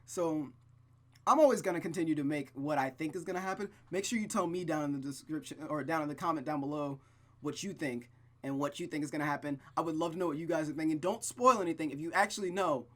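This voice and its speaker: An informal, excitable host reading a visual novel aloud and chatting casually to viewers, at a medium pitch. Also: fast. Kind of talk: casual let's-play narration and chat. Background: music with plucked guitar.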